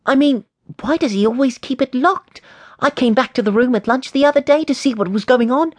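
A man's voice: very high-pitched, fairly quick, no echo, pitched above the performer's own voice. A man voicing a curious, stubborn eleven-year-old boy in a storybook, with an eager, insistent manner. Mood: intrigued.

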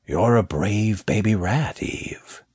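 A big, sly boa constrictor from a children's storybook, acted out by a man, low and husky. He draws his words out slowly with quiet amusement, sometimes sinking toward a whisper. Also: animated, no room sound, clean studio sound, full character voice. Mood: calm, amused. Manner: slow, drawn-out, sometimes whispery speech. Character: large boa constrictor snake, sly, unhurried, amused male. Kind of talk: children's audiobook character.

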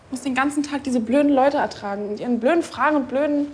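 A woman's voice: sits high, quick pace, a little mellow, close to an everyday voice. A young actress playing a stubborn, horse-loving teenage girl who speaks frankly. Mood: sulky.

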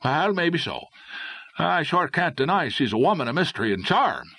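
Man voicing an eager, high-pitched young adventurer. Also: big pitch swings, moderate pace, gravelly, bright, forceful tone, breathy edge, no echo, studio quality, full character voice. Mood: cheerful. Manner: enthusiastic, exclamatory. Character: eager, reckless young Irish redhead adventurer, male. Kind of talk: audiobook character voice.

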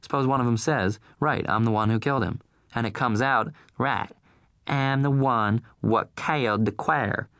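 A man's voice: medium pitch, normal speed, a bit bright, dry recording, clean studio sound, voice pushed up, lightly acted. A man voicing an imperious middle-aged newswoman with a Southern accent, speaking in a haughty, insistent way.

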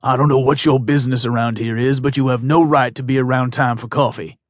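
A man's voice: medium pitch, fairly even intonation, a little fast, no echo, lightly acted. A man voicing a firm protective father. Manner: firm, curt.

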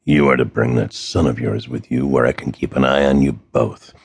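A man voicing a cold, menacing male villain with a gravelly voice who threatens slowly. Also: extremely low pitch, fairly even intonation, no echo, voice pushed down, exaggerated voice.

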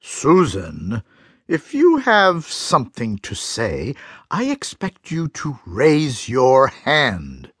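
Slow, husky, pompous school principal. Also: male voice, mid-range voice, huge pitch swings, fairly bright tone, no echo, clean studio sound, exaggerated voice. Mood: stern. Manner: pompous, scolding. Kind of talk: full-cast children's audiobook acting.

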